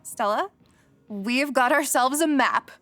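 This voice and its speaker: An actress playing a sarcastic, curious young reporter in a comedy audio drama. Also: female voice, high voice, slow, a bit bright, soft background music. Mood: intrigued. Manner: sarcastic, teasing. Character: wry, curious young female reporter chasing a story.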